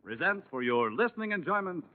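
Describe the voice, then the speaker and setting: Casting: male American vintage announcer, animated and fairly high, polished sales delivery. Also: fairly quick. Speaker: radio announcer. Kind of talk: 1950s radio announcing and sponsor ad. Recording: dull vintage radio broadcast, music.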